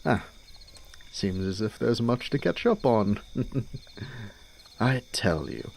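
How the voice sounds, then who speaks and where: A man's voice: mid-range voice, lively intonation, slow pace, creaky, a little hiss or hum. A man playing a suave young nobleman in a fantasy audio drama, airy, genteel and teasing. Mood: breezy, teasing.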